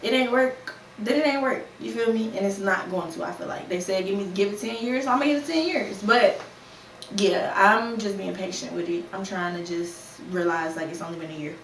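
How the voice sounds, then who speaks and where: A woman in her twenties hosting a casual solo podcast, talking candidly in a conversational way with slang and quick put-on quotes. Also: medium pitch, fairly bright tone. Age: 20s. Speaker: podcast host. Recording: roomy, a bit distant from the mic, faint ambient noise.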